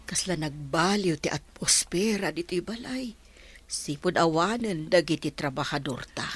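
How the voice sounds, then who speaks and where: A woman playing a wistful, worried old village wife in a radio drama, with a husky voice. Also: fairly low pitch, lively intonation, a little slow, faint music behind. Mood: wistful worry.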